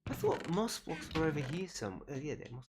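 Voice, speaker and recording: A chatty Australian streamer in their late twenties, talking through a game at a mid-range pitch. The delivery rambles with fillers and turns self-deprecating now and then, with a faint hum of ambience behind. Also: very animated, quick pace. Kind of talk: casual livestream commentary while playing.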